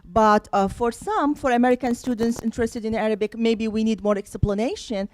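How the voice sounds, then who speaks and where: Measured woman hosting a panel interview. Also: a little high, fairly even intonation. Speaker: host interviewing panel guests.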